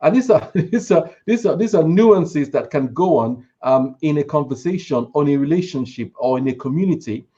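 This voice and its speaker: A man recounting experience on a discussion panel in careful, measured, halting phrases. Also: on the high side, animated, dark tone, dull audio. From Nigeria. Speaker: church leader on a panel.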